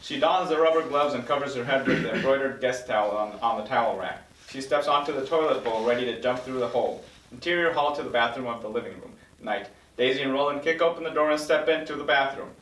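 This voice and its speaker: A man's voice: medium pitch, fast talker, a bit bright, distant mic, a little hiss or hum. A man reading screenplay stage directions as narrator at a table read. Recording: echoey room, slightly off-mic.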